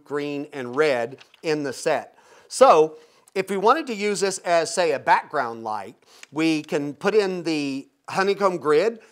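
A man in his fifties talking casually and informally as he explains and demonstrates a product. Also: a little high. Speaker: product reviewer, host. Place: indoor photo studio with backdrop.

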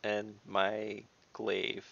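A man's voice: lowish voice, monotone, drawn-out words, vocal fry, fairly bright tone, no echo. A man chatting casually as a player in an online tabletop game. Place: video call from home. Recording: dull, lo-fi call audio.

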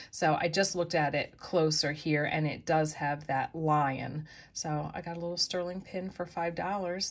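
Middle-aged woman, low gravelly voice, husky, easygoing show-and-tell chat. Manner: chatty, easygoing, with soft asides. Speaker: vlogger showing thrift finds. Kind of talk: casual show-and-tell narration. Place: indoors at a table, close-up of hands.

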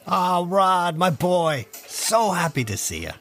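An actor in a comedy podcast playing an old assistant, fond and querulous. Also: male voice, high voice, big pitch swings, slow, fairly bright tone, heavily acted. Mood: delighted.

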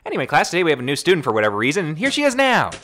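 A woman's voice: low-pitched, wildly swooping pitch, rapid-fire, a bit bright, slight background noise, full character voice. A woman voicing a manic, flippant, scatterbrained schoolteacher who rambles abruptly in an anime parody dub. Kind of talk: fan parody dub acting.

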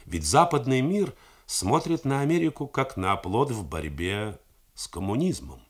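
A middle-aged man reading a novel aloud in a measured, theatrical 1980s radio studio style. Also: slightly rough. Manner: measured, expressive theatrical delivery with wide pitch swings.

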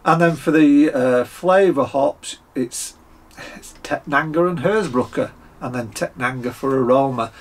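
Croaky, husky man in his fifties, Scottish accent. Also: medium pitch, unhurried. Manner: chatty, frequent "uh" fillers and throat clearing. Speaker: beer reviewer. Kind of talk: casual tasting review. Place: home workshop, indoors, small room.

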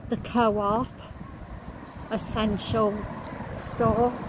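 Slow, high-voiced woman on a noisy street. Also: flat delivery. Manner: halting, listing shop names as seen. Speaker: travel vlogger. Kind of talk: walk-along street narration. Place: outdoors on a town shopping street. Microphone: handheld camera while walking. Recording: loud street and traffic noise.

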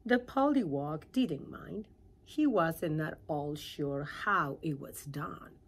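A middle-aged woman reading a children's storybook aloud in an animated way, with dramatic pauses and questions to her listeners. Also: low voice, breathy edge.

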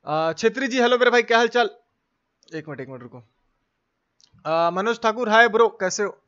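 High-voiced man, game streamer, casual slangy live chat, informal greetings. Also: lively intonation, moderate pace, sharp tone, dull audio.

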